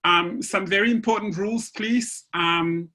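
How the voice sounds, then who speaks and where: A woman's voice: medium pitch, measured pace, pushed and bright. A woman from Samoa moderating an online seminar panel, emotional and speaking with many fillers, over a video call.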